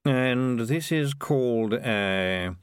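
A man voicing a menacing, disdainful piper in a storybook reading, speaking with slow, dry contempt. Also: mid-range voice, animated, clear, resonant tone, no echo. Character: cool, menacing, disdainful male piper feared by townsfolk.

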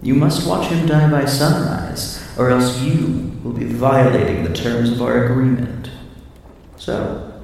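A man's voice: mid-range voice, steady tone, unhurried, gravelly, a little mellow. A man playing a sly, devilish monster in a radio drama, taunting and coaxing with theatrical menace as it offers a deal. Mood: businesslike, sinister. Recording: echoing hall-like reverb, distant, faint noise.

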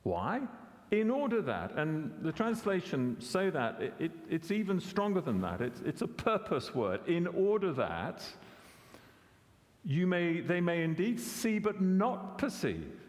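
A man's voice: high voice, wildly swooping pitch, unhurried. Guy giving a sermon, voice goes up and down a lot, big echoey church hall. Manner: conversational, asks questions, self-corrects with repeats. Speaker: preacher. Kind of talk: expository sermon.

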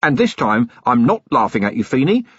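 A tough middle-aged police commander, as a male narrator puts him on speaks in a husky, stern voice with plenty of lift and swing. He is menacing and dryly sarcastic. The sound is dry and slightly dull. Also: mid-range voice, very animated, brisk, no room sound, pitched above the performer's own voice. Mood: hearty.

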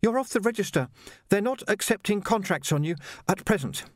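A woman's voice: mid-range voice, expressive, brisk, no room sound, clean studio sound, voice pushed up, natural voice. A man reading an audiobook, voicing an earnest, polite young female trainee assassin with eager, deferential manners. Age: young adult.

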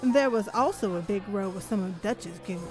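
An actress playing a sly, slangy 1930s speakeasy gal in a noir audio drama, with playful drawn-out speech. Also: female voice, medium pitch, close to an everyday voice. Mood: gossipy. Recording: music behind the voice.

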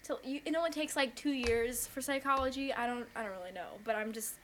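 A woman's voice: sits high, brisk, fairly bright tone, slight background noise. A woman from Wisconsin, a podcast guest chatting casually with many fillers and frequent laughs. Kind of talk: casual interview chat.

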